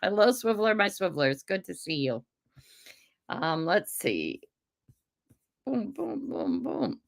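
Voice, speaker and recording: An older American woman hosting a casual livestream, greeting viewers by name and rambling along, her voice rough and congested from a cold. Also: medium pitch, sing-song, unhurried. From United States. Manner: chatty, rambling, warm greetings to commenters. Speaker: livestream host.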